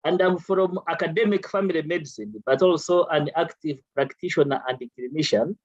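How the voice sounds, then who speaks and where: A man's voice: a little high, brisk, no room sound, lo-fi sound. A man from Uganda, a family physician, answering formally on a conference panel with many fillers.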